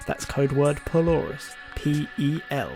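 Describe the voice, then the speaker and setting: A man's voice: fairly high pitch, flat delivery, unhurried, a little mellow, rough recording. Man playing a podcast host with music. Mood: measured, presenting. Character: male history podcast host in a post-apocalyptic future, inquisitive. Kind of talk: scripted podcast hosting and interview.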